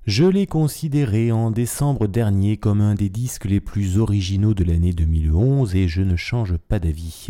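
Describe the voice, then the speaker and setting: A man hosting a radio jazz program, presenting records in a measured, articulate way with casual asides. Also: lowish voice, warm tone.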